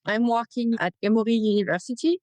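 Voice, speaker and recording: A woman from France with a French accent, a researcher answering interview questions in a plain, slightly halting way. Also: fairly high pitch, a little mellow, dry recording. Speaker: guest researcher.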